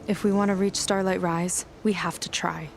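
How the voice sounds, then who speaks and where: An actress playing a proud, determined young naval petty officer in a video game cutscene, speaking with earnest intensity. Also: female voice, lowish voice, fairly even intonation, normal speed, creaky, a little hiss or hum. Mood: earnest, resolved. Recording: music behind the voice.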